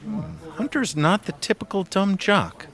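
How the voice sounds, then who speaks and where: A man's voice: sits high, animated, measured pace, a bit bright, breathy edge, heavily acted. An actor playing a smug, conniving office underling in a comic audio drama, arch and insinuating as he gossips. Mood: sly, smug.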